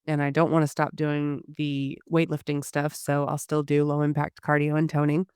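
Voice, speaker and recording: A hoarse woman giving a candid personal health update to her listeners, with frequent pauses and restarts. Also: deep voice, monotone, darkish tone, no room sound, clean studio sound. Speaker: podcast host.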